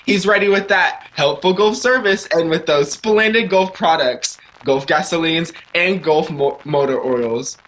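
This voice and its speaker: A man playing a genial 1940s radio theater announcer and sponsor pitchman, speaking in a formal, promotional style. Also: a little slow, few pauses, a bit bright, rough recording.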